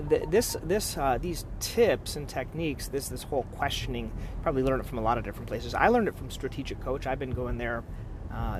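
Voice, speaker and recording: A man with a medium-pitched voice talks informally, explaining practice tips to fellow professionals. He is conversational and repeats points for emphasis. Clear background noise, like outdoors or traffic, runs behind him. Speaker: podiatrist giving practice advice.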